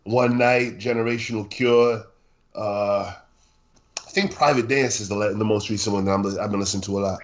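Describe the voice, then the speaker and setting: A man co-hosting a podcast while sick and congested, talking slowly with many hesitations. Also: lowish voice, fairly even intonation, muffled recording. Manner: slow, hesitant, many uhs. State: congested with a cold. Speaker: podcast co-host. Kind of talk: casual podcast chat.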